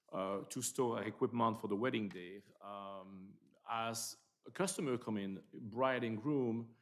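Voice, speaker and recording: A man from Belgium with a Belgian accent, a business owner presenting his plans at a town planning meeting, halting and repeating words. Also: mid-range voice. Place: council meeting room.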